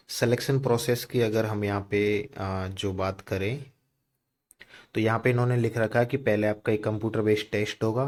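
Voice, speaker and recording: Indian guy w a lowish voice, coaching video style, explains step by step, throws in english words. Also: darkish tone. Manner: instructive, mixes Hindi with English terms, repeats check-in tags. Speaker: exam-coaching video presenter. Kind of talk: informal explainer of a job notification.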